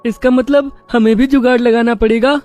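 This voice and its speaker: A man's voice: very high voice, steady tone, moderate pace, darkish tone, soft background music. A man voicing an earnest, respectful poor village schoolboy in a children's story. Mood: worried. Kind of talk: children's moral story voice acting.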